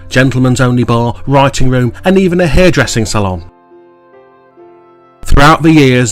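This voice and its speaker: A man reading a scripted local history documentary in a measured, formal way. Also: medium pitch. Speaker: documentary narrator.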